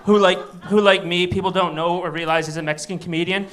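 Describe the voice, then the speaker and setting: A man from Michigan working a comedy club crowd, his voice pitched high with a bright, forward edge. He talks in a loose, wry, conversational way into a handheld mic, pitching jokes straight at the room. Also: steady tone, fast talker. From Michigan, USA. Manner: wry, chatty crowd banter. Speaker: stand-up comedian. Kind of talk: live stand-up set.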